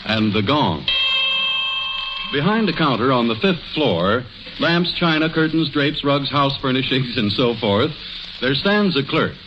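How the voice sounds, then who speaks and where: A man's voice: mid-range voice, animated, measured pace. A man announcing and selling in a 1940s radio advertisement, polished and emphatic. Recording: hissy muffled old radio broadcast.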